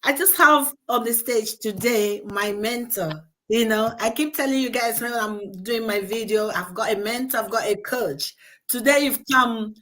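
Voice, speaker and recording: A woman's voice: on the high side, normal speed, bright, forceful tone. A woman hosting an online chat show over a video call, who talks chattily with plenty of fillers and keeps encouraging her guest. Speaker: host.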